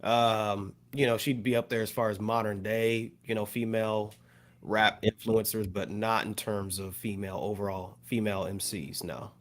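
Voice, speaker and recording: A man in casual podcast discussion, choosing his words in hesitant, measured phrases. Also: lowish voice, steady tone. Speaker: podcast panelist.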